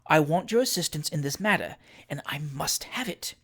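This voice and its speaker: A narrator acting out a rich lady of forty in a period storybook. She is cool and scheming, speaking quietly in a measured, dry, imperious way. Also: medium pitch, animated, breathy edge. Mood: insistent.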